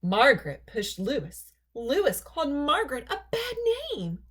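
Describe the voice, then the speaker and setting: A woman reading a children's story aloud in an expressive, deliberate storytelling manner. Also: high voice, slightly rough. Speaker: storybook reader.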